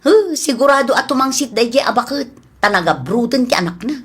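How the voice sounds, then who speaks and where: Babaeng gumaganap na masungit na manugang, paos at mataas. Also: female voice, fairly high pitch, animated, a little fast, fairly bright tone, slightly rough. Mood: sullen. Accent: Ilocano. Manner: complaining, suspicious. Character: grudging, stingy, nagging young wife and daughter-in-law. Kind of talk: Ilocano audio comedy drama acting.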